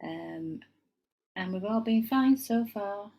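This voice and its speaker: A woman's voice: medium pitch, lively intonation, takes it slowly, vocal fry, mellow. A middle-aged woman with a Yorkshire accent, giving a casual tarot reading at home and wandering into chatty asides. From England. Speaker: tarot reader.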